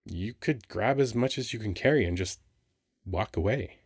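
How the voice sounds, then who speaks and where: Man playing a low-voiced, wry, flirty sphinx. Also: lively intonation, a little mellow, breathy edge, dry recording, studio quality. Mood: reluctant, flirty. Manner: casual, rambling, halting, wry. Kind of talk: ASMR roleplay monologue.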